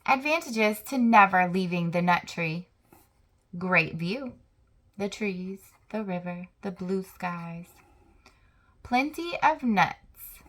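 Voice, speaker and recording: A woman hosting a children's channel, reading a picture book aloud with slow, expressive storytelling. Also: mid-range voice.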